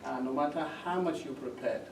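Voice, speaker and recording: A man sits on an academic panel and gives advice in a measured way, stopping often to search for words. His voice is middle in pitch and sounds distant. The room echoes, and noise runs under it. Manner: measured, with frequent pauses and fillers.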